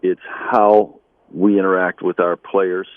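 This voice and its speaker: A man speaking as an interviewed basketball coach, conversational and measured, with halting pauses. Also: low voice, level tone, dry recording, phone-quality sound.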